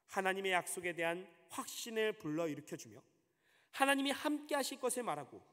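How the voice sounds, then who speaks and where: A man's voice: high voice, fairly bright tone. A man in his thirties, a pastor, preaching a sermon earnestly and asking rhetorical questions. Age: 30s. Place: church sanctuary. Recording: long hall reverb.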